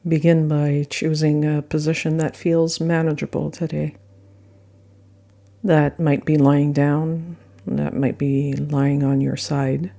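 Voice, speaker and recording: A woman guiding a yoga nidra meditation with gentle, unhurried phrasing and frequent pauses. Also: deep voice, little pitch movement, gravelly. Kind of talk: guided meditation narration. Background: soft meditation music.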